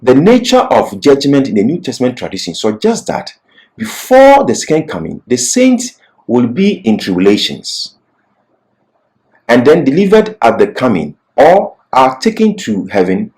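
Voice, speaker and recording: A man's voice: medium pitch, huge pitch swings, normal speed, darkish tone. A man giving an expository Bible lecture as a teacher, repeating key phrases for emphasis.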